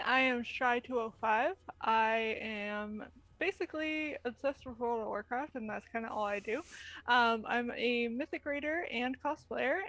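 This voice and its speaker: A woman's voice: on the high side, a bit bright, no room sound. A woman player and cosplayer in a tabletop stream, laid-back and casual, often saying "like" and "man". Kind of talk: casual chat.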